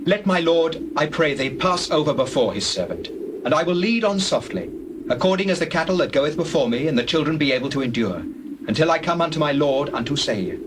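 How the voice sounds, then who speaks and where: Humble patriarch, acted by a man: raised, swooping pitch, formal entreaties, dramatized scripture, noisy track with birdsong. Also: expressive, fairly quick, close to an everyday voice. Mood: humble pleading. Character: humble, pleading biblical patriarch, earnest and deferential.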